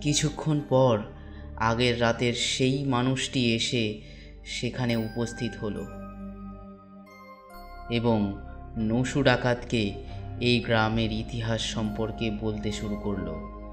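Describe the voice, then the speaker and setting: A man narrating a scripted horror story in a deliberate way, with dramatic pauses between phrases. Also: mid-range voice, flat delivery, faint music behind.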